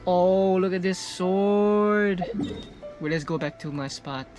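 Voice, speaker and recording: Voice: mid-range voice, takes it slowly, darkish tone, soft background music. A gaming streamer reacting casually to gameplay, repeating phrases and drawing out exclamations.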